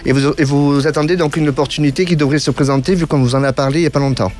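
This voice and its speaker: Guy on a call-in radio show, kinda high voice, lots of ums and restarts, reassuring, music under it. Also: steady tone, racing, a little mellow. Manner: hesitant, many fillers and restarts, reassuring. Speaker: radio clairvoyant host taking calls. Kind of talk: call-in consultation.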